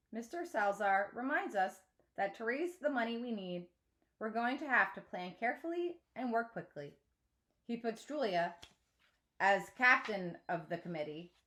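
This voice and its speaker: A woman teacher reading a children's novel aloud in an expressive storytelling style. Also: fairly high pitch.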